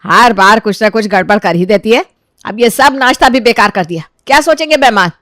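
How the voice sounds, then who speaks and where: A woman's voice: a little high, brisk, a bit bright, dry recording, studio quality, close to an everyday voice. A woman voicing a domineering, melodramatic middle-aged mother-in-law in a cartoon story, nagging and accusing. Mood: angry, accusing. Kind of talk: cartoon moral-story voice acting.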